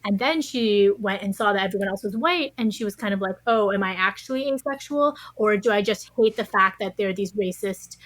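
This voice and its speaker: A woman's voice: medium pitch, brisk, hardly any pauses, no echo, poor audio. A woman speaking as an interview guest, giving thoughtful, conversational answers. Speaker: interview guest, science writer.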